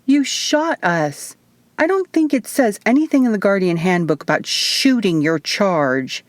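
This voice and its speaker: A woman's voice: mid-range voice, animated, measured pace, dry recording, pitched above the performer's own voice, close to an everyday voice. A woman reading as a young heroine in an audiobook, dry-witted, teasing and easily indignant. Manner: dryly teasing.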